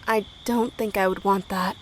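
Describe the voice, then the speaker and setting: A woman acting a brave, polite but defiant high school girl in a period audio drama, with folksy speech. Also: steady tone, moderate pace, slight background noise, natural voice, low-quality recording. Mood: wary, defiant. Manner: folksy dialect grammar, plucky. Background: crickets and night insects.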